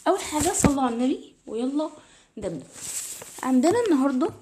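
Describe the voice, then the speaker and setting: A woman's voice: high voice, lively intonation, fast talker, background noise. A woman with an Egyptian Arabic accent, teaching English grammar in a casual, step-by-step way, her voice a little tired. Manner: explains step by step, repeats "تمام". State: tired, slightly strained voice.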